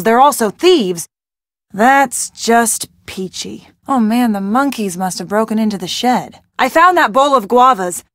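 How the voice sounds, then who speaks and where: An actress playing a wry, peppy young woman in an adventure game, expressive and colloquial, with an American accent. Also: a little high, no room sound, studio quality. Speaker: video game voice actor. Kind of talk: scripted adventure game dialogue.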